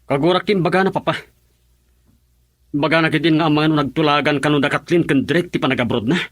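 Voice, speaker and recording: A man's voice: a little high, fast talker, lightly acted. A man playing a bitter, jealous young husband whose wife has left him, in a radio drama. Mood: agitated, defensive.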